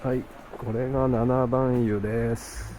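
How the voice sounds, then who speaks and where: Casual vlog host: Japanese man, native Japanese speaker with a Japanese accent, speaking on the go under loud music. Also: mid-range voice, flat delivery. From Toyama, Japan. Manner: halting, searching for words in English. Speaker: travel vlogger. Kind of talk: casual on-the-spot travel narration and chat.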